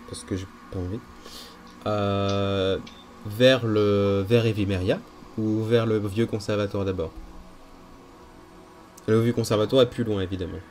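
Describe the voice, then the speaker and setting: A man's voice: fairly low pitch, darkish tone, a little hiss or hum. A man running a tabletop role-playing game as game master, often hesitating and repeating words while he thinks.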